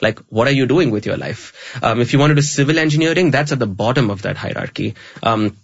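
A man from Chennai, speaking as an interview guest, talking quickly with many "um"s and restarts. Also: medium pitch, gravelly, husky, no echo, highs cut off. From South India, Chennai. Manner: articulate, fast-paced, with frequent "um" and restarts. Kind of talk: conversational interview.

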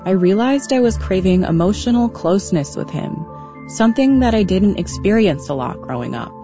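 A woman in her twenties narrating her own story in a reflective, measured, scripted way. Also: mid-range voice, lively intonation, dark tone, soft background music. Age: 20s. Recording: dull, muffled audio.

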